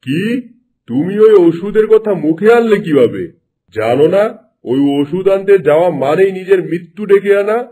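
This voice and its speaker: A man's voice: high-pitched, lively intonation, normal speed, mellow. A man voicing a vain, greedy, scheming king in a cartoon, with an imperious, commanding manner. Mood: scornful, alarmed. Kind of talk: Bengali cartoon dubbing.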